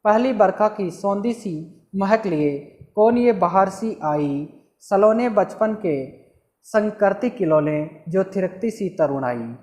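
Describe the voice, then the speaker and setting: A man's voice: high-pitched, lively intonation, slow pace, darkish tone. A man reciting lyrical verse and giving a short formal speech at a college function. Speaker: speaker at a college Hindi Day function. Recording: roomy echo.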